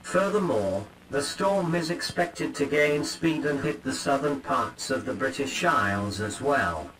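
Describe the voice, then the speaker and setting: A man reading an emergency weather alert in a calm, clipped, official manner. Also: on the high side, measured pace, a little hiss or hum, low-quality recording.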